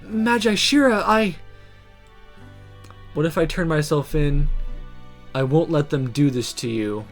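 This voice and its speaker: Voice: medium pitch, unhurried, long pauses, faint music behind, natural voice. A game streamer voicing an earnest, exasperated young magic student in a visual novel, with emotional delivery. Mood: worried, pleading.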